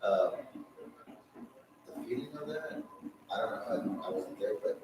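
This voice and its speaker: A man's voice: fairly low pitch, animated, measured pace, background noise. A man casually interviewing someone, chatty and asking questions as he goes. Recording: very echoey, distant, loud background TV.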